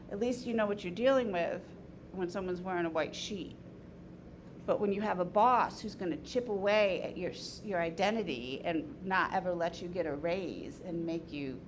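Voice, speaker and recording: A woman leading an informal lecture and group discussion in an opinionated, emphatic way. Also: fairly low pitch. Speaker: discussion leader, teacher. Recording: noisy room recording.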